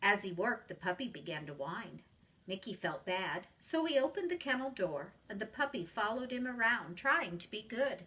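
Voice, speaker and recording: A woman narrates a children's storybook with expressive, teacherly delivery. Her voice is bright and very lively in pitch, heard slightly away from the mic. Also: moderate pace, distant mic, low-quality recording. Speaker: preschool teacher reading aloud.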